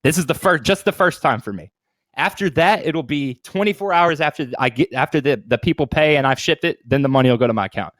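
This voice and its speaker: Higher-pitched man chatting casually, close dry sound. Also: fast talker, fairly bright tone, no room sound. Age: 30s. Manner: informal, swears freely, bro-and-dude slang. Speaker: podcast co-host. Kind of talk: casual chat.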